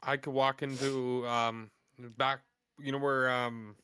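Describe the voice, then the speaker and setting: A man in his thirties with a bright, forceful voice, swearing freely as he rambles through stories in casual chat. The sound is close and dry, with no room around it. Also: mid-range voice, flat delivery, takes it slowly, clean tone, no room sound. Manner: heavy swearing, loose storytelling. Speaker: podcast co-host.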